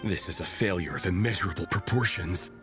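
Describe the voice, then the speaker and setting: A man's voice: deep voice, a little fast, natural voice. An actor voicing a theatrical young male fan who speaks in grandiose, declamatory quotes. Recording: game cutscene with music behind.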